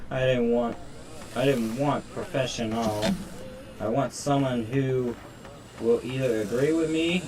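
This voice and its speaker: A man's voice: medium pitch, recorded from a distance, rough recording. A man reading a visual novel's narration aloud on a stream, in a dramatic storytelling style.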